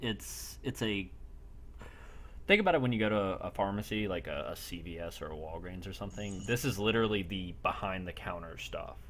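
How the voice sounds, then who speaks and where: Male game master, low pitch, casual and blunt narration, noisy background on a voice-chat recording. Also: lively intonation, fairly quick, a bit bright. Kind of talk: narrating a live tabletop-style role play.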